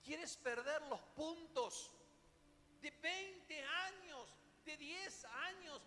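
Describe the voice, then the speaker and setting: A man's voice: extremely high pitch, extremely slow, pushed and bright, a little hiss or hum. A man with a Rioplatense Spanish accent preaching a sermon, dramatic, with rhetorical questions and emphatic repetition. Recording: echoey hall, music behind the voice.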